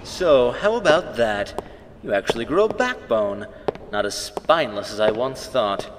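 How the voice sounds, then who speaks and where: A man's voice: mid-range voice, big pitch swings, measured pace, background noise. A man acting in a radio play as a cocky, needling captive who taunts in wordy, theatrical sarcasm. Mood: mocking. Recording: long reverb, music behind. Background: music.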